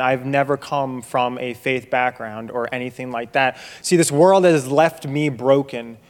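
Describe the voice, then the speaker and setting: A young man giving a loud, emphatic testimony to a church congregation, holding a handheld mic low, in a reverberant sanctuary. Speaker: young adult group member giving testimony. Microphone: handheld microphone held low.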